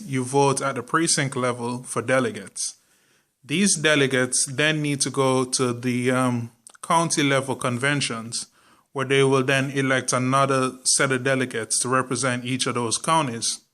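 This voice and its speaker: A man's voice: medium pitch, pushed and bright. A young man with a Jamaican accent giving casual political commentary to his viewers, chatty and informal with frequent ums and the odd swear word. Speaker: political vlogger.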